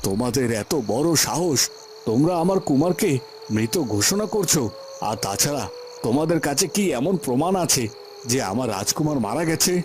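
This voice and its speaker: A man's voice: medium pitch, expressive, moderate pace, darkish tone, slight background noise, lightly acted. A man playing an anxious, doting king who grieves for his son in a fairy-tale cartoon, emotive and repeating phrases in agitation. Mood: shocked, indignant. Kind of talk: Bengali fairy-tale cartoon dramatic acting.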